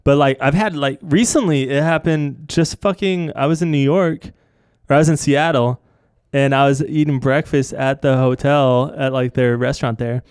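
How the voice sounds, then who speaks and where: A man's voice: medium pitch, fairly even intonation, moderate pace, darkish tone, clean tone, no echo, studio quality. An American man hosting a podcast, chatting casually in a slangy, wry way with some swearing. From Arizona, United States. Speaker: podcast host. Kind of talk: casual interview chat.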